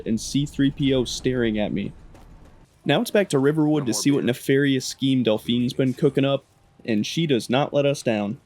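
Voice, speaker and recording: A man's voice: mid-range voice, a little mellow. A man giving casual, humorous commentary on a video game in a wry, sarcastic way. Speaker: gaming video host.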